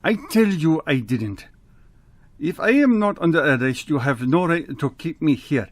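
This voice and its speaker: An actor playing a frightened, pleading farmer in a western radio drama, halting and stammering, with stiff formal phrasing. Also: male voice, on the high side, animated, dry recording. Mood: protesting. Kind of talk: old-time western radio drama acting.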